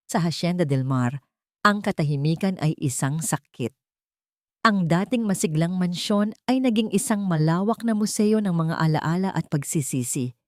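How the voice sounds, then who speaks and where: Filipino lady narrating a drama story, lowish voice, really dry sound. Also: mellow, no room sound.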